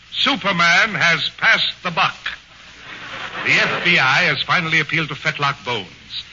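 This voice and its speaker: Casting: male radio announcer, portentous mock-drama delivery, bright, old broadcast. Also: on the high side. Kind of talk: scripted dramatic narration. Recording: muffled 1940 radio broadcast, faint hiss.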